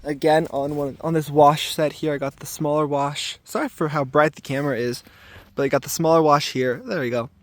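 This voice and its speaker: A man with a raspy voice today, talking casually and thoughtfully to a camera while checking traps outdoors, with filler ums and restarts. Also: a little high, fairly even intonation, a little fast. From southern Arizona. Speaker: trapper filming his trapline. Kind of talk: casual outdoor vlog narration. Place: outdoors in desert washes.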